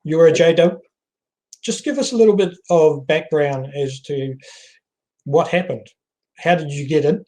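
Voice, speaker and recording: A man's voice: on the high side, moderate pace, long pauses, a little mellow, low-quality recording. Chatty man hosting a video call. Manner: chatty, asks short questions, laughs easily. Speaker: host. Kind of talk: casual video call interview.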